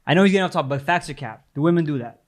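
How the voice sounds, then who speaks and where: A man's voice: a little high, lively intonation, rapid-fire. A man hosting a reaction video, talking in slang, repeating himself and laughing. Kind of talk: casual reaction commentary.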